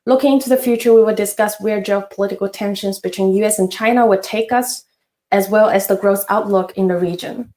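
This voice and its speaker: A woman's voice: medium pitch, dark tone. A woman hosting a finance webinar, giving a polite, partly scripted introduction with some hesitations. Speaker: webinar host. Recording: roomy echo.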